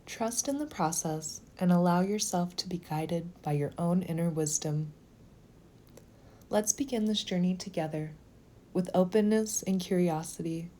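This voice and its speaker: Low, mellow woman guiding a meditation. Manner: soothing, slow, gentle, with breathy near-whispered phrase ends. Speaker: guided meditation teacher. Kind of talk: guided meditation narration.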